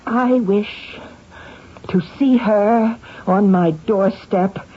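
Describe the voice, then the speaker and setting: An actress playing a bitter, scheming middle-aged wife, insistent and theatrical, in a radio drama. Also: female voice, medium pitch, very slow, breathy edge. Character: bitter, scheming wife of a poorly paid professor. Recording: dull, lo-fi old radio broadcast.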